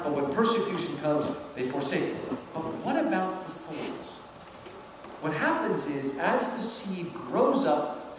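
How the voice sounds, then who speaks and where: A man in his fifties giving an emphatic public lecture, putting questions to his listeners and checking that they follow. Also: high voice. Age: 50s. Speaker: lecturer, professor. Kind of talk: animated public lecture commentary. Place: large indoor hall. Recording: echoey hall, distant mic, background noise.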